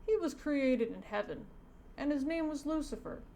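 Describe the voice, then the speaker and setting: A woman's voice: high voice, lively intonation, normal speed, creaky, warm tone, pitched above the performer's own voice. A woman voicing a solemn, instructive male pastor as she reads a comic aloud. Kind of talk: reading comic dialogue aloud. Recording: noticeable background noise.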